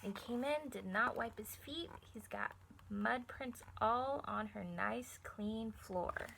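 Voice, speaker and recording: A woman teacher reading a picture book to children, encouraging them and pausing to explain things simply and ask questions. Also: a little high, creaky, fairly bright tone, a little hiss or hum, poor audio. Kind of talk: read-aloud lesson with questions to young listeners.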